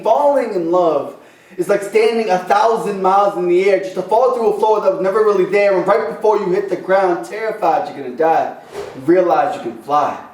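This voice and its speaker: High-voiced man performing impassioned slam poetry. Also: a little mellow. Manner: impassioned, dramatic pauses, rhythmic rhyming delivery. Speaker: slam poet. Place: open mic venue with a small responsive audience. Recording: echoey live room, distant microphone.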